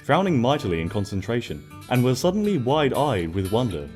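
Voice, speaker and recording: A man narrating a scripted story expressively, in a gentle storybook manner. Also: mid-range voice, very animated, brisk, soft background music. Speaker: fan fiction narrator.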